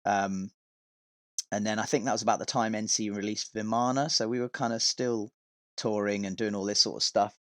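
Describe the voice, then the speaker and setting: Low-voiced man, casual and rambling. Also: flat delivery, moderate pace, fairly bright tone, no room sound. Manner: informal, rambling, sweary. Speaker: podcast guest, touring metal vocalist and tour manager. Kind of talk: casual podcast chat, telling stories from touring.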